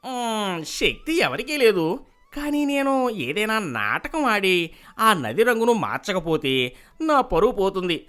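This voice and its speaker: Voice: medium pitch, big pitch swings, normal speed, a bit bright, clean tone. A voice actor playing a greedy, scheming village priest who talks in a blustering, pleading way in a cartoon story. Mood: plotting. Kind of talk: animated cartoon voice acting.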